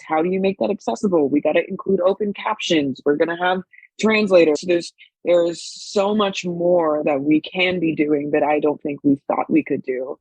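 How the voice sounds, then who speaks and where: A man's voice: a little high, dry recording, muffled recording. A man chatting casually as an actor and arts educator being interviewed.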